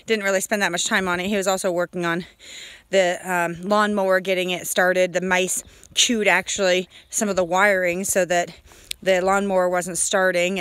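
A woman in her forties giving a casual, halting homestead video diary outdoors, talking into a phone at arm's length. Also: mid-range voice, a bit bright, clear, resonant tone, no room sound. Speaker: homesteader vlogger. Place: outdoors on a farm. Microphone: phone held at arm's length.

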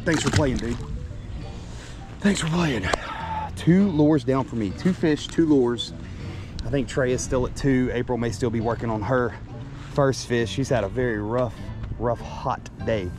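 Excitable fishing vlogger by a lake. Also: male voice, mid-range voice, music in the background. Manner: excitable, talks while tying lures, quick bursts of shouting. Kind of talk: casual outdoor chat to camera. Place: outdoors by a lake. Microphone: action camera.

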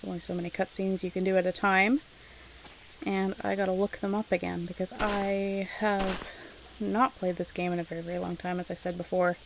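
Creaky-voiced woman narrating her gameplay. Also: mid-range voice, little pitch movement, a little fast, background noise. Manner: rambling, thinking aloud to herself. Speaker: video game streamer narrating gameplay. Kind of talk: casual let's-play commentary.

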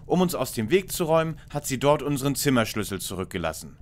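A pedantic young sleuth in a spoof detective radio play, voiced by a man. He has a medium-pitched voice with lively movement and lays out deductions in wordy, matter-of-fact fashion. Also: fast talker, lightly acted. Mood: deducing. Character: know-it-all, deductive young male lead detective. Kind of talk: parody detective audio drama acting.

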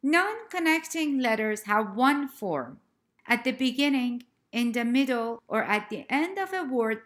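A woman reads a scripted language lesson at an unhurried, deliberate pace. Her voice sits a little high, and she spells out each letter name with care, like a patient teacher. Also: a bit bright. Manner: slow, careful, clearly spelled-out letter names. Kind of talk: scripted narration of a slide lesson.

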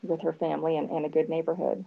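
A woman's voice: sits low, flat delivery, fast, gravelly, husky, phone-quality sound. A woman in her fifties hosting a talk over a video call from home, encouraging her guest. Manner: encouraging, many fillers. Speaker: host. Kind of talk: casual video call chat. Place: home room.

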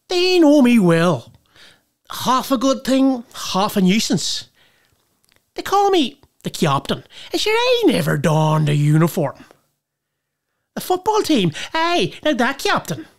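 A woman's voice: fairly low pitch, exaggerated voice. A woman with an Irish accent playing a boozy, nostalgic drinker in a colloquial, rambling theatrical monologue. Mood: wry, tipsy. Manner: wistful, colloquial rambling with bursts of playful mimicry.

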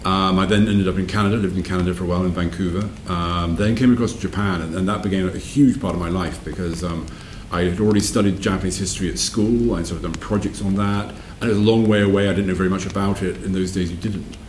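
A man of about sixty reminiscing in an interview, repeating phrases for emphasis between hesitant pauses. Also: low voice, steady tone, echoey room, a little hiss or hum. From south-west England. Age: around 60. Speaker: interviewee, whisky company managing director. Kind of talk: reflective interview storytelling. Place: wood-panelled room by a fireplace, indoors.

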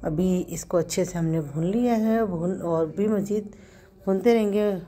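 Hoarse Pakistani woman, mellow and low. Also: measured pace, slight background noise. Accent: Pakistani Urdu. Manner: chatty, explains step by step with small self-corrections. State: hoarse from a sore throat. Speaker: home cook host. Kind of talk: casual cooking narration.

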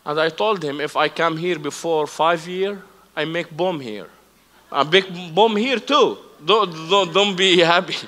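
Man, Arabic accent, 40s, high voice, bright edge, broken English, acts out dialogue, church stage, reverberant hall. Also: a little slow. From Palestinian, raised in Lebanon. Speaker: guest speaker. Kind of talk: testimony preached on stage. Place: church stage with live audience.

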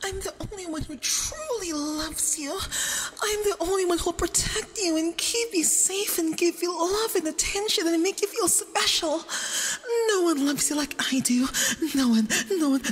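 Woman doing a nervous clingy yandere femboy boyfriend, super high sweet doting voice, asmr roleplay, doubled with big reverb. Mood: possessive, intense. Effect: doubled audio with added reverb. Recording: long reverb, doubled voice track.

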